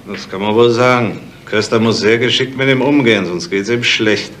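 An actor playing an eager junior police detective in a 1970s German crime radio drama. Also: male voice, on the low side, normal speed, a bit bright, slight background noise, lightly acted. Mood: eager, insistent.

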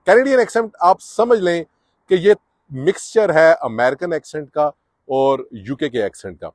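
A man's voice: on the high side, expressive, normal speed, no echo, studio quality. A middle-aged man talks to camera on a sunny residential street, giving earnest advice to newcomers with frequent filler sounds. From Pakistan or India. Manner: earnest, advising, with many filler "uh"s. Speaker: immigration advice vlogger. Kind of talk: casual explanatory vlog to camera.

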